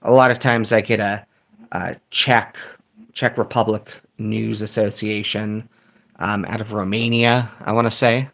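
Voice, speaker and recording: Gravelly easygoing guy. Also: on the low side, a little slow. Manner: relaxed, rambling, thinking aloud with fillers. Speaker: streamer tuning shortwave radio. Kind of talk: casual live chat reading viewer comments.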